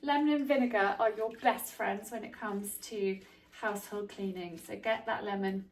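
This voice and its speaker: A woman's voice: high voice, a little mellow, reverberant, slight background noise. Congested woman in her kitchen. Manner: chatty, homely, friendly asides. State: congested, bunged up from hay fever. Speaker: home tips vlogger. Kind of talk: casual how-to chat to camera. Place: home kitchen.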